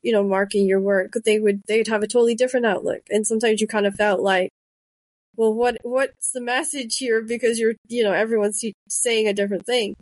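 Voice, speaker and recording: Woman, thirties, podcast host, chatty storyteller, higher voice, dry close sound. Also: steady tone, a little fast, darkish tone, no echo. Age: 30s. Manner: chatty, storytelling with quoted asides. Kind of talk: casual conversation.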